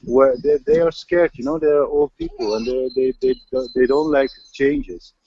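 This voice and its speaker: A man from the Netherlands with a Dutch accent, answering interview questions in a halting way, often repeating words while searching for them. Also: mid-range voice, vocal fry, dark tone, slightly rough. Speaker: local resident. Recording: dull lo-fi audio.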